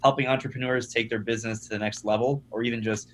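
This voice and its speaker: A young man, a startup founder, talking casually as a podcast guest, energetic and often repeating his first words. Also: medium pitch, quick pace, slight background noise. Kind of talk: conversational podcast interview.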